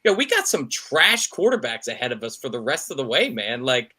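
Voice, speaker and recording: Excitable bright-voiced male sports podcaster. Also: mid-range voice, a little fast. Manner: excitable, animated, says "bro" and "man". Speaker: podcast co-host. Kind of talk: casual sports chat.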